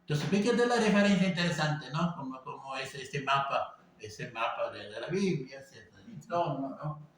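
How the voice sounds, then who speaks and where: A man teaching an informal class, talking haltingly with many fillers and tag questions. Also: mid-range voice, expressive. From Venezuela. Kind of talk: informal lecture. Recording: echoey room, voice from a distance, faint background noise.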